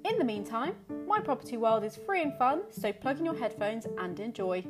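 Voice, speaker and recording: A woman's voice: on the high side, big pitch swings, a little fast. A woman reading a scripted podcast introduction. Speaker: show announcer. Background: guitar music.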